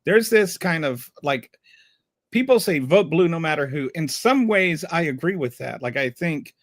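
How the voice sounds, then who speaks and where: Guy hosting a panel, halting, stumbles and restarts a lot, dry close sound. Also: on the high side, lively intonation, no room sound. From Louisiana. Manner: halting, full of fillers and restarts. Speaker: host. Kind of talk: casual panel chat.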